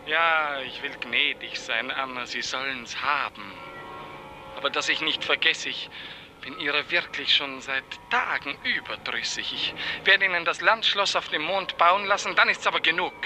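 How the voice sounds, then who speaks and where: An actor playing a pompous, capricious, commanding despot in a 1950s radio play. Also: male voice, fairly high pitch, moderate pace, music in the background, lightly acted. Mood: bored, condescending. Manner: imperious, haughty, commanding. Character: pompous, capricious male despot, a general director in a dream.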